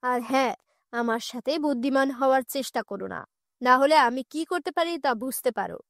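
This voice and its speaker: A woman voicing a menacing male kidnapper villain in a cartoon, with a gruff, threatening manner. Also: high voice, a little fast, a bit bright, no room sound, clean studio sound, voice pushed down. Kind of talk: cartoon dubbing.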